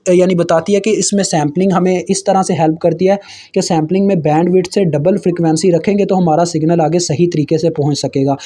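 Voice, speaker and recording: Young Pakistani tutor, 20s, high but mellow voice, casual and chatty, slows down to read textbook lines. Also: male voice. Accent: Pakistani Urdu. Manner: informal, addresses viewers as kids, frequent fillers and restarts; slowly reads English textbook lines aloud. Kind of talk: casual explanatory lecture over slides.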